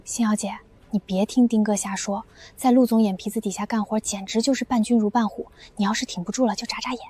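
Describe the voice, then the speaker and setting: A young woman playing a sympathetic, conspiratorial junior office colleague in an animated drama, confiding in a husky voice. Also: mid-range voice, fast, no room sound, natural voice.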